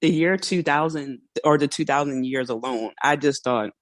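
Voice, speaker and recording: A woman hosting a casual solo podcast, chatty and a little hoarse, laughing now and then and acting out small quoted asides. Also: deep voice, moderate pace, no echo. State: slightly hoarse. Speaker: podcast host.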